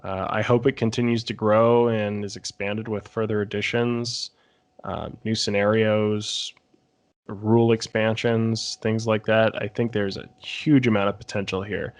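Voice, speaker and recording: A man's voice: fairly low pitch, level tone, measured pace. A man speaking casually as a podcast panelist, giving his recommendations in a review discussion.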